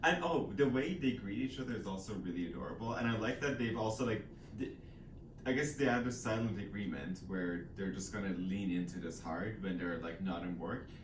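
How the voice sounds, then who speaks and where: A man with a fairly low voice talks casually and agreeably, rambling a little as he discusses a book. He sounds a bit away from the mic in an echoey room. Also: brisk. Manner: informal, loosely rambling, agreeable. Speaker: co-host of a book discussion channel. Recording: echoey room, distant mic, clear background noise.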